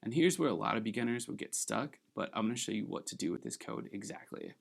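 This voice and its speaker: A man hosting a screen-recorded software tutorial, giving upbeat, encouraging step-by-step instructions while slightly losing his voice. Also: lowish voice, animated, quick pace, creaky. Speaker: tutorial host.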